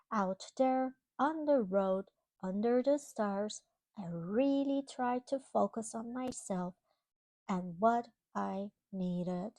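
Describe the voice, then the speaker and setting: A woman reading a children's picture book aloud, expressively, pausing to explain things to young listeners. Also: mid-range voice, darkish tone, no room sound. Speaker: children's storybook reader. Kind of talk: read-aloud storytelling to young children with simple asides.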